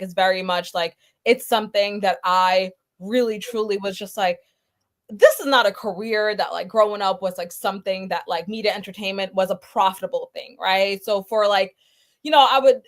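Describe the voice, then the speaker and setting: A young woman from Guyana, a podcast guest, talking casually about her career with lots of filler words. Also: mid-range voice. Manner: chatty, many "like" fillers. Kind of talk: casual chat.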